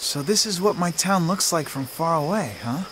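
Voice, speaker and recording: A man's voice: fairly high pitch, lively intonation, normal speed, fairly bright tone, a little hiss or hum, low-quality recording. Earnest teenage boy, crickets behind. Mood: upbeat. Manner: casual, boyish, earnest. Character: friendly, caring, slightly awkward teenage boy hero. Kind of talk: video game cutscene acting.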